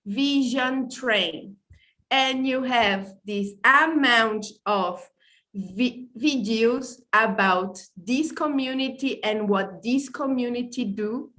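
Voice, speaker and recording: A woman from Brazil, speaking English as a second language, hosts a casual online podcast over a video call. She talks slowly in a fairly high voice, effusive and a little halting, pausing to correct herself. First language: not English. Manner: warm, effusive, stumbles and apologizes often. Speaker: podcast host. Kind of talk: casual online livestream hosting.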